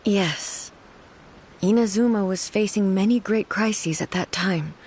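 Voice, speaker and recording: A woman voicing a calm, regal woman ruler in a video game, speaking in a measured, formal and quietly grave way. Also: medium pitch, slight background noise, natural voice, poor audio.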